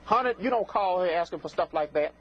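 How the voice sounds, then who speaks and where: A high-voiced man hosts a live call-in show with swooping, theatrical delivery. He is sassy and scolding, talking in folksy colloquial phrases he likes to repeat. The recording is muffled and noisy. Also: expressive, quick pace. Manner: folksy colloquial speech with double negatives. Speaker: host of a live phone-in psychic show. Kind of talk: live call-in TV talk with prank callers. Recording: muffled lo-fi broadcast with clear noise, clicks.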